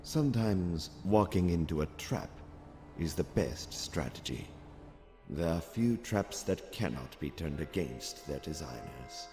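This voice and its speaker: Very deep, calculating alien strategist. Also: male voice, expressive, dark tone, faint music behind, full character voice. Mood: calm, calculating. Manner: formal, measured, precise wording. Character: calm, cerebral male alien military cadet and strategist. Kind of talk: scripted audio comic acting.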